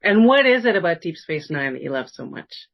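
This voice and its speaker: A woman's voice: on the low side, very animated, a little fast, vocal fry, highs cut off. A woman hosting a podcast interview in a casual, conversational style.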